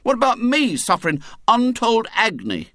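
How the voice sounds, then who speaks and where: Man reading a sulky boy gang leader, high and bouncy, theatrical self-pity. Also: wildly swooping pitch, normal speed, a bit bright, dry recording, clean studio sound, exaggerated voice. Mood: aggrieved. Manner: melodramatic, self-pitying, exaggerated complaints. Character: sulky dramatic schoolboy, outlaw gang leader.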